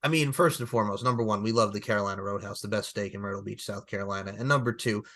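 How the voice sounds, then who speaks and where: A man's voice: fairly low pitch, racing. A man, co-hosting a casual podcast chat and leaning on filler phrases. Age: 30s. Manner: animated, repeats filler phrases. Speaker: podcast co-host.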